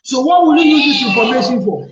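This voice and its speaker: A man's voice: very high voice, expressive, fast, roomy sound, muffled recording, slight background noise. A man with a Ghanaian English accent hosting a counselling show, firmly repeating his questions. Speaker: relationship counselor host.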